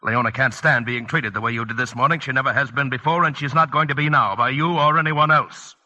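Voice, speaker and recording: A man's voice: mid-range voice, fairly even intonation, brisk, bright, forceful tone. An actor playing a domineering older father-in-law in a vintage radio drama, blunt and commanding. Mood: stern. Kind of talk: 1940s-50s radio drama acting.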